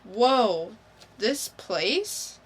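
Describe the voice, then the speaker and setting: A woman's voice: mid-range voice, big pitch swings, extremely slow, husky, exaggerated voice. A woman playing an awkward, nervous young man in an amateur comic book reading, sounding hesitant and unsure. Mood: hesitant, surprised.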